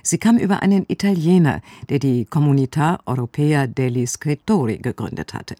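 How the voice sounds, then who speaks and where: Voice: mid-range voice, moderate pace, mellow, dry recording, clean studio sound. A radio presenter giving a scripted introduction to an audio drama. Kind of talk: scripted narration.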